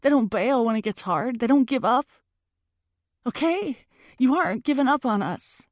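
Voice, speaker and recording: A woman's voice: on the high side, lively intonation, normal speed, no echo, studio quality, voice pushed up, natural voice. A woman voicing a heartbroken, wounded and furious young woman in first-person audiobook dialogue, emotional and emphatic. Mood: desperate, insistent.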